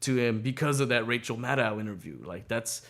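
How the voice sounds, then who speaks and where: A man's voice: medium pitch, brisk, fairly bright tone. An American man co-hosting a casual radio talk show, chatting about politics in a crude, irreverent, profane way.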